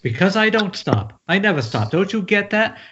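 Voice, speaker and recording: A man's voice: sits high, very animated, moderate pace, fairly bright tone. A man reading the part of a defensive, addicted husband and writer in an amateur screenplay table read, profane and defiant. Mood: agitated, defiant. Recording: dull, noisy internet call with clicks.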